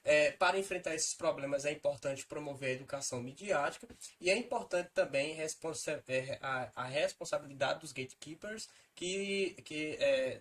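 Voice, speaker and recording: A Brazilian man giving an academic presentation in formal wording, with hesitant pauses as he moves through his points. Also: fairly high pitch, a bit bright. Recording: faint background noise.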